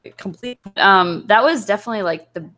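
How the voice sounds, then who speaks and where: A woman's voice: mid-range voice, fairly quick, pushed and bright, soft background music. A woman chatting casually as a voice actor guest, wry and slangy in a conversational way. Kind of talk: casual chat.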